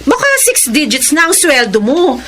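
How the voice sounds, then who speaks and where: Very high-pitched feisty young Filipina. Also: female voice, very animated, normal speed, heavy background noise. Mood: cheerfully defiant. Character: feisty, hard-working young woman, online seller and strict older sister. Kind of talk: Filipino radio drama acting.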